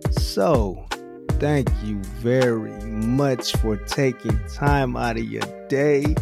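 A man hosting a conversational interview show, speaking in deliberate, drawn-out phrases with some repetition. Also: mid-range voice, gravelly, music in the background. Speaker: show host. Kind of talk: conversational interview, personal introduction.

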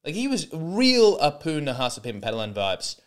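Man, young, comedy podcast host, rambling, sarcastic, lively swooping pitch. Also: moderate pace. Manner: rambling, sarcastic, many false starts.

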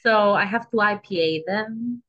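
A woman's voice: medium pitch, a little slow, fairly bright tone. Greek accent actress lady, chatty, keeps restarting, kinda muffled lo-fi sound. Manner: chatty, restarts sentences, asks "you know?" often. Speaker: guest actress. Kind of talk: casual podcast chat.

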